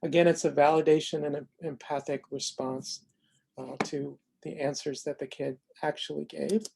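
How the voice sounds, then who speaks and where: An American man presenting an unscripted explanatory demo of a software tool, speaking in a measured, halting way with frequent pauses. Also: fairly high pitch, level tone, vocal fry, a little hiss or hum.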